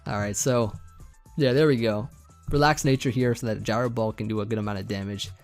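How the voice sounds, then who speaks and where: A young man casually talking through a team build, rambling with many fillers, his voice getting a bit hoarse. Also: fairly low pitch, fairly quick, soft background music. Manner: relaxed, rambling, many fillers and restarts, light laughs. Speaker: competitive Pokemon team builder. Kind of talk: casual gaming commentary over team building.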